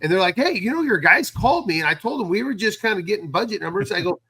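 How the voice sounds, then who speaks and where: Male contractor guest, slightly high and forward, halting conversational delivery with repeated phrases. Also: lively intonation, bright, forceful tone, slightly rough. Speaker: guest co-host, fence contractor. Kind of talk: casual recap chat.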